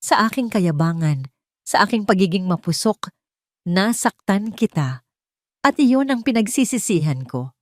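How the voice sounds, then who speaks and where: A woman with a Filipino accent voicing a humbled, remorseful young male billionaire in an audio story, halting and earnest. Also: mid-range voice, animated, dry recording, clean studio sound, close to an everyday voice.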